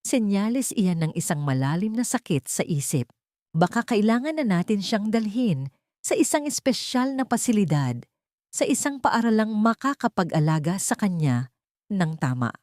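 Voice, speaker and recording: Narrator as scheming female villain, audiobook drama; soft insinuation with a cutting edge, very varied pitch, dry. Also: sing-song, moderate pace, dry recording, lightly acted. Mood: falsely sweet. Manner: silky, insinuating, turning sharp. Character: sly, soft-spoken manipulative villainess.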